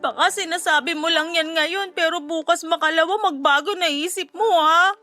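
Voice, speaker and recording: A woman's voice: very high voice, steady tone, normal speed, sharp tone, soft background music. A woman playing an anxious, crying wife who longs for a child in a radio drama, pleading and emotional. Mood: anxious, tearful. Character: tearful, anxious wife longing desperately for a child.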